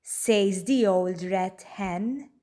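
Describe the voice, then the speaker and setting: A woman reading a children's storybook aloud in a deliberate, expressive way. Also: medium pitch, very noisy. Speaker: children's book reader. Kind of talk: read-aloud storybook narration.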